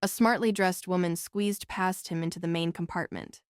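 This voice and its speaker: A woman's voice: on the low side, fairly even intonation, moderate pace, smooth, no echo, studio quality. A woman reading scripted first-person novel narration as an audiobook narrator.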